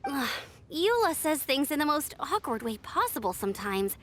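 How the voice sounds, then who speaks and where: A woman's voice: sits high, sing-song, normal speed, fairly bright tone, slight background noise. An actress playing a casual, friendly, reassuring young woman in a video game, speaking candidly. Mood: exasperated, kind.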